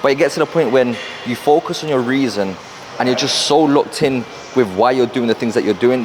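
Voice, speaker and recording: A young man with a London accent, talking fast and rambling to camera in a casual motivational vlog, wearing a clip-on mic in a gym. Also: medium pitch, background noise. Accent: London English. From South London, England. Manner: fast, rambling, repeats himself. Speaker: fitness and mindset coach. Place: busy gym.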